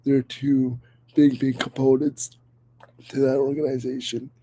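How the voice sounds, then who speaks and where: A man hosts a casual podcast while slightly sick, his voice a little worn. His tone is very dark and mellow and his pitch stays quite level. He talks earnestly and eagerly, stumbling through restarts. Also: little pitch movement, a little slow, rough recording. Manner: earnest, enthusiastic, many fillers and restarts. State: a little sick, voice under the weather. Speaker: podcast host.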